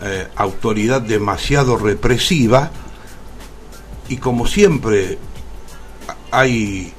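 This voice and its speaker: An Argentine man, an actor being interviewed on radio, speaking reflectively in deliberate phrases broken by pauses. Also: fairly low pitch, animated, faint music behind. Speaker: interview guest, stage actor.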